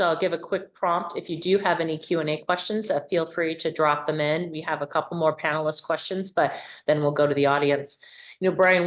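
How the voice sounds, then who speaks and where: A woman's voice: low-pitched, muffled recording. A woman moderating a webinar panel over a video call, asking questions in a loose, conversational way with fillers.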